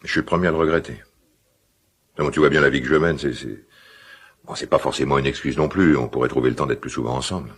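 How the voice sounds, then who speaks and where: An actor playing a middle-aged father in a radio drama, guilt-ridden and awkwardly tender, speaking hesitantly in a gravelly smoker's voice. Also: a bass voice, a bit bright, exaggerated voice. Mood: awkward, conciliatory. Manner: hesitant, halting, confiding. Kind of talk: naturalistic French radio drama dialogue.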